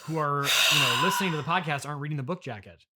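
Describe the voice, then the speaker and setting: A man hosting a comedy interview podcast, speaking in a halting, polite, easily flustered way. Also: on the high side, noisy background.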